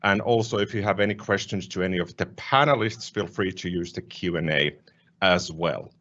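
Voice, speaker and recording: A man moderating an online panel over a video call in a friendly, measured way. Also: low voice, fairly bright tone. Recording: muffled video-call audio.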